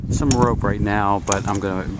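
A man narrating his homemade build in a casual, halting way, filming outdoors in a backyard. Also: fairly low pitch, fairly even intonation. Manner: halting, many uh fillers, matter-of-fact. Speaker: DIY builder. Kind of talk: casual show-and-tell narration. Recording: noisy outdoor camera audio. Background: wind and outdoor ambience.

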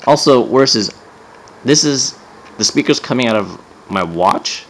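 A man's voice: mid-range voice, expressive, measured pace, poor audio. A man giving a casual hands-on gadget demo as a reviewer, offhand and sardonic, swearing and sounding exasperated.

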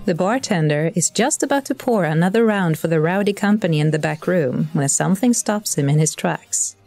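A mellow, lowish-voiced angel messenger, played by a woman, addresses listeners like an office official of heaven. The delivery is wry and politely condescending, over faint music in an audio drama. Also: no echo, clean studio sound. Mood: storytelling. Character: chatty, bureaucratic female angel messenger addressing prophets. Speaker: narrator and in-story host. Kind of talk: scripted narration, addressing listeners.

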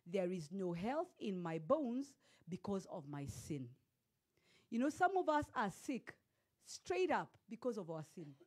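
A Kenyan woman pastor in her forties preaching a sermon into a handheld microphone in a church hall, swinging from loud declarations to hushed storytelling. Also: mid-range voice.

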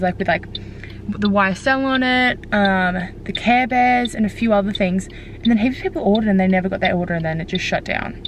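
A woman of twenty with an Australian accent, chatting informally to camera in a casual vlog. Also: mid-range voice. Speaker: vlogger. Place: parked car interiors and home kitchen. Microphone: handheld camera at arm's length. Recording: background noise, in car or home.

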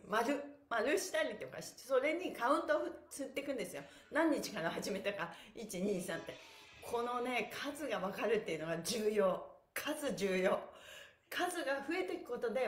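A middle-aged woman, an effusive, playful and encouraging stretch instructor chatting to her livestream viewers. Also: fairly high pitch. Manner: effusive, encouraging, playful, frequent laughing exclamations. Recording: echoey bare room.

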